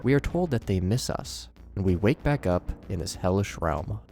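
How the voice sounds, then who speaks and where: A man's voice: low-pitched, expressive, moderate pace. A man hosting and narrating scripted horror commentary in a theatrical presenter style with wry asides. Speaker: video host and narrator. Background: background music.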